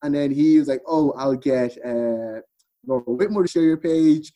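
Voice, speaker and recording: Mellow Irish man telling stories casually. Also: mid-range voice, normal speed, low-quality recording. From Ireland. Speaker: podcast guest. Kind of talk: casual chat, storytelling.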